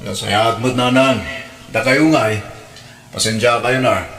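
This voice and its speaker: Echoey, dutiful grown son. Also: male voice, mid-range voice, steady tone, takes it slowly, a bit bright. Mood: caring. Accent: Ilocano. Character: dutiful, caring grown son calling home. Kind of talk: radio drama acting. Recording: echoey, roomy voice.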